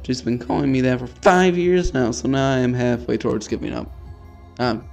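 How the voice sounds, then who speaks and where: A man's voice: medium pitch, animated, moderate pace, vocal fry, darkish tone. Man reading a game aloud, music behind. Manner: stumbles over names, offhand swearing. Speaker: let's play host. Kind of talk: casual read-aloud of a visual novel with asides.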